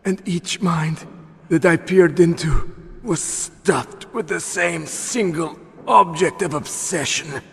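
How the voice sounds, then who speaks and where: An actor playing a bitter, sinister dying psychic villain in a video game, with a breathy rasp and theatrical, halting delivery. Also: male voice, fairly high pitch, a bit bright, exaggerated voice. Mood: bitter contempt. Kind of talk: dramatic video game acting. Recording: noisy, heavily reverberant game audio.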